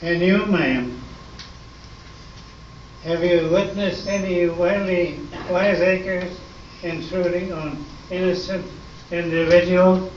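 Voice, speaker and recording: Earnest police partner played by a man, very slow formal delivery, radio play, muffled room recording. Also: high voice, fairly even intonation. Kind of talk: amateur stage radio play, police-drama parody. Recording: echoey muffled room, distant, faint noise.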